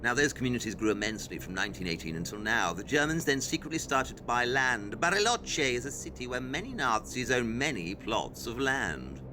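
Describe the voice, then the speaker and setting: Male actor as brilliant condescending detective, lively swooping delivery, precise lecturing, audio drama, clear background rumble. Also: fairly quick, few pauses, natural voice. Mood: brisk, explanatory. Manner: didactic, condescending, precise explanations. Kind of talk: audio play acting.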